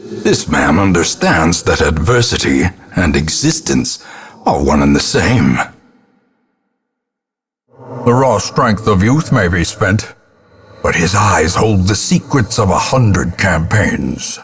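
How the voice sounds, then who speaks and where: An actor voicing a grim, guilt-ridden old ancestor who narrates a gothic horror game in solemn, ornate, theatrical phrases with a husky voice. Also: male voice, low voice, very animated, slight background noise, exaggerated voice. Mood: solemn, foreboding. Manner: solemn, ornate, deliberate dramatic delivery.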